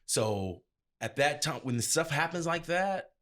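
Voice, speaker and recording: A man's voice: on the high side, lively intonation, unhurried, a bit bright. A man speaking as an interview guest and event DJ, telling stories in a casual, emphatic, slangy way. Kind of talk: casual anecdotal storytelling.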